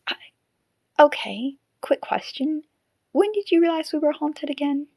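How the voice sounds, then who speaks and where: A woman voicing a curious, dryly teasing haunted female marionette in an audio drama, with measured delivery. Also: high voice, expressive, creaky, a little mellow, no echo, full character voice. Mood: incredulous.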